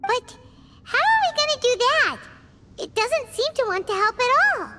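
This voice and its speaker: A woman voicing a tiny, excitable and anxious fairy girl in a video game, with a squeaky childlike voice. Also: normal speed, noisy background. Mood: puzzled. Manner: speaks of herself in third person, exclamatory. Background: game music.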